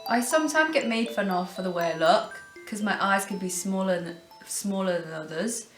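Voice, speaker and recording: A woman's voice: medium pitch, normal speed. An 18-year-old woman from Thailand, a student in a documentary interview, speaking hesitantly with restarts and frequent "like". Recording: very echoey room, music behind.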